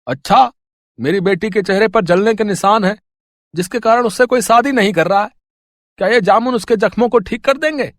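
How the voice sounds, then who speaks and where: A man's voice: sits high, brisk, a bit bright, no echo, studio quality. A man playing a wealthy village father in a storybook tale, blunt and folksy in manner. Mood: sceptical, bargaining. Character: sceptical, boastful, grateful village father, wealthy customer. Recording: music under the voice.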